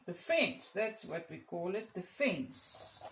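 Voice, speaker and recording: A woman's voice: fairly low pitch, sing-song, slow. A woman with an Afrikaans accent, chatting with viewers as she teaches a painting lesson and calling out cheerful exclamations as she works. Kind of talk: casual step-by-step painting lesson. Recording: echoey room with faint background noise.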